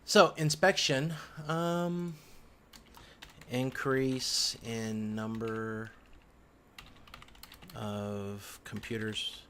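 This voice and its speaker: A man giving an informal tutorial as an instructor, thinking aloud in halting phrases with frequent restarts. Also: mid-range voice, flat delivery, a bit bright. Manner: halting, hesitant phrasing with repeated word restarts. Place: indoors at a computer. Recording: clear background noise, keyboard typing.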